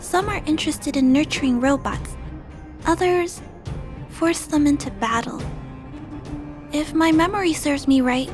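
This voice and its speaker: Video game role: kindhearted young girl, high-pitched, earnest and polite, over music. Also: a little slow, pauses often. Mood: thoughtful. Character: shy, kindhearted little girl who cares for robots.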